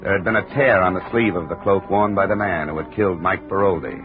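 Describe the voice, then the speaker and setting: An actor playing a dry, wry insurance investigator who narrates in first person, in a 1950s radio drama. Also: male voice, sits low, steady tone, fast talker, natural voice. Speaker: narrator and lead. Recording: muffled old radio broadcast with music.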